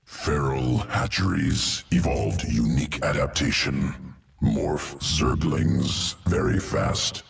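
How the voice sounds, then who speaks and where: A man's voice: fairly even intonation, slow, full character voice. An actor playing a detached male alien creature who engineers flesh, speaking in terse broken phrases. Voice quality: gravelly, very deep. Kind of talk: video game cutscene.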